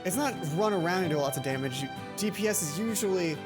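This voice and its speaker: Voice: mid-range voice, lively intonation, quick pace. A let's play host with a rambling, playful, self-interrupting way of talking, reading game text aloud and commenting on it. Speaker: gaming video host. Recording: game music under the voice. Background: video game music.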